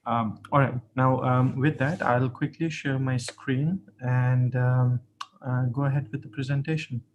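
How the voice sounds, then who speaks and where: A man from Pakistan, tired late at night, giving a friendly, relaxed webinar talk over a video call. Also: mid-range voice, a little slow, dull audio, a little hiss or hum. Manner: friendly, relaxed, self-deprecating. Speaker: guest speaker, assistant professor. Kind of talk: webinar presentation. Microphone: computer mic on a video call.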